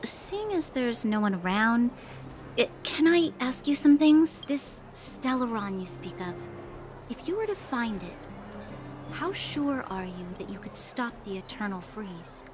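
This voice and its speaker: An actress playing an earnest, dutiful young female officer in a video game, speaking in a measured, formal, reflective way. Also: on the high side, big pitch swings. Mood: thoughtful, curious. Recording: faint music underneath.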